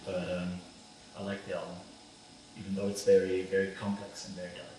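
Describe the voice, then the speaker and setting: A man's voice: sits low, completely flat delivery, a little fast, a little mellow. German male interviewer in a very echoey room. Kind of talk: casual interview questions. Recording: very echoey room, distant mic, faint noise.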